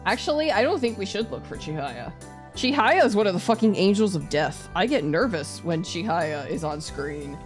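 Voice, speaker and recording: A woman's voice: fairly low pitch, big pitch swings, normal speed, soft background music. A woman streamer playing a visual novel live, reading the narration aloud and adding playful, casually sweary asides. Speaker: streamer, virtual YouTuber.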